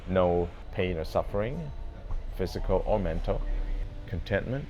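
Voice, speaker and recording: Slow, very deep-voiced man of sixty. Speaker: interviewee. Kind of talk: reflective, hesitant interview talk.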